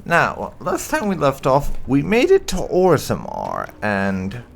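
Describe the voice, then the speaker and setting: A man hosting a gaming video, speaking in a halting, deliberate way with drawn-out emphasis. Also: mid-range voice, wildly swooping pitch, vocal fry, a little hiss or hum. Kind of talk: casual let's-play commentary.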